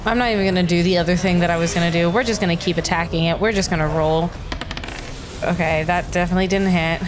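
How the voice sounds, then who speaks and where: A woman's voice: lowish voice, steady tone, quick pace. A woman playing a tabletop game, speaking casually and teasing the others in easy banter. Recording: loud music bed behind voice. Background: background music.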